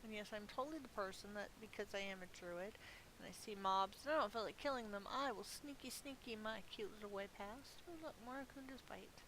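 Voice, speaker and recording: A middle-aged woman hosts a gameplay video, chatting casually and thinking aloud with a self-amused air. Her voice sits at an average pitch for a woman. There is a noticeable hiss on the recording. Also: brisk, fairly bright tone, low-quality recording. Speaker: gaming video host. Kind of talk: casual rambling gameplay commentary. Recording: clearly audible background hiss.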